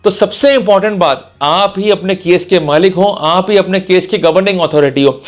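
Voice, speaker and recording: High-pitched man lecturing on camera. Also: moderate pace. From India. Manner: emphatic, instructive, addresses listener directly. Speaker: lawyer giving a law lecture. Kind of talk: explanatory legal lecture for online viewers.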